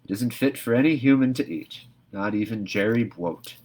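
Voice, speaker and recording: A man's voice: mid-range voice, a little mellow, close to an everyday voice, poor audio. A man putting on a scolding old spinster. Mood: dry. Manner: brisk, scolding. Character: stern elderly spinster woman, sharp-tongued.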